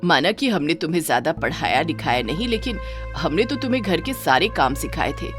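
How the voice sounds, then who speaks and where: A woman's voice: sits low, lively intonation, brisk, music in the background. A woman voicing a mild middle-aged mother in a moral story, earnest, concerned and conciliatory. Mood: troubled. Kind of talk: acted dialogue in a moral story.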